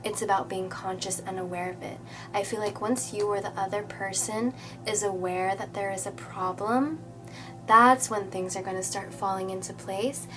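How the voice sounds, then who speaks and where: A woman with a slightly stuffy, nasal voice gives a relaxed tarot reading straight to her viewers. Her pitch sits right in the middle, and she chats warmly and reassuringly, tossing in "okay?" and "you know" as she goes. Clear music plays under her. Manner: chatty, reassuring, many fillers and "okay?". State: nasal from a cold. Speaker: tarot reader. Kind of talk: casual tarot reading to camera.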